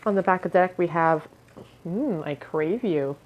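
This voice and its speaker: A woman giving a pick-a-card tarot reading in a musing tone, her voice a little hoarse and scratchy, often clearing her throat. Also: on the low side, normal speed, dull audio. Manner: musing, hedges with "I feel". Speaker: tarot reader.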